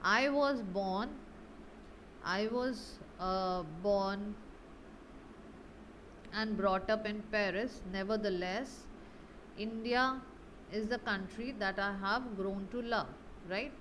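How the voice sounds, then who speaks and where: A woman's voice: medium pitch, slow, pauses often, a bit bright, slight background noise, low-quality recording. A woman English grammar teacher explaining exercise answers in a didactic way, often checking understanding with tag questions.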